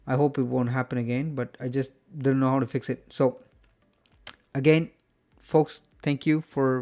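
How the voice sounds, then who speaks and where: A man's voice: medium pitch, dark tone. A man hosting a live stream from home, wrapping up the show in a rambling, friendly chat full of fillers and restarts. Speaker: host.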